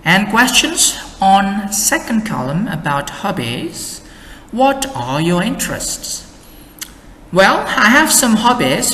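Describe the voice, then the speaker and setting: A young adult man with an Indonesian accent, an English exam tutor explaining slowly and carefully with model answers. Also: on the high side, fairly bright tone, slight background noise. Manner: slow, careful explaining with many "and then" links. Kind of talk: lesson with sample answers. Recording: echoey room with faint ambience.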